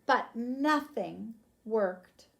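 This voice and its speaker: A woman reading a picture book aloud to young children like a teacher, expressive and emphatic. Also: on the high side, drawn-out words, vocal fry. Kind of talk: children's storybook narration.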